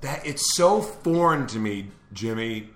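A man's voice: medium pitch, animated, measured pace, echoey room. A man hosting a live morning show, upbeat and laughing easily as he chats with a guest. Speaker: host. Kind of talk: casual live show chat.

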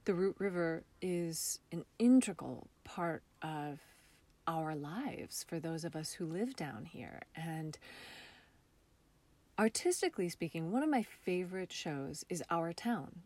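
Lower-pitched American woman, thoughtful and halting. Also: animated, darkish tone. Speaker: interview guest, theater artist. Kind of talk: casual reflective interview talk.

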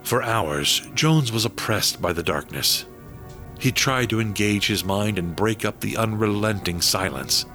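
A man's voice: lowish voice, a little slow, pushed and bright. A man reading scripted dramatic narration as the narrator of a radio drama. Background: music.

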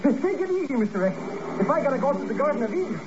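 An actor playing an excitable, chatty, nervous sidekick to a secret agent in a comic, complaining 1950s radio drama style. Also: male voice, extremely high pitch, very fast. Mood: uneasy. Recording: muffled, noisy old radio recording.

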